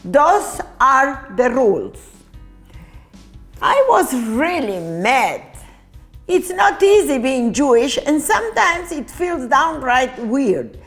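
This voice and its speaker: A woman reading a children's story aloud in an expressive, deliberate manner. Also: high-pitched, faint music behind.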